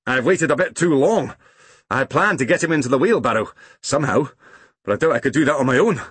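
A man's voice: mid-range voice, animated, brisk, fairly bright tone, slightly rough, pitched above the performer's own voice. A man voicing a practical old gardener, fretting over a problem in a storybook reading. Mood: worried.

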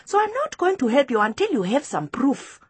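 A woman's voice: high voice, very animated, a little fast, no echo, voice pushed up. A woman voicing a fair-minded, firm young schoolgirl in a children's story, earnest and questioning.

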